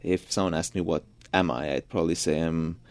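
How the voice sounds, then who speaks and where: A man's voice: low-pitched, fairly even intonation, fairly quick, gravelly, a little mellow, no room sound. A young man from Georgia whose first language is Georgian, answering interview questions thoughtfully and often restarting his sentences. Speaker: interviewed pianist and composer. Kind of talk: radio interview.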